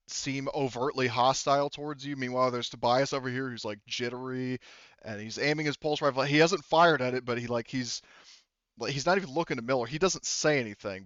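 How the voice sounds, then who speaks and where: A man running a tabletop game as game master, casually improvising narration in a halting, sweary way as he thinks aloud into a headset. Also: mid-range voice, fairly bright tone, dry recording, lo-fi sound. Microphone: headset mic.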